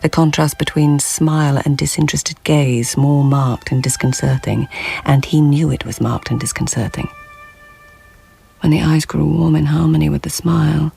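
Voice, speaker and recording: A slow gravelly court lady musing quietly, soft music under. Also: low voice, fairly even intonation. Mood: reflective. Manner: slow, hushed, reflective narration. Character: observant court lady narrating her diary thoughts. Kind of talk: BBC radio drama inner monologue.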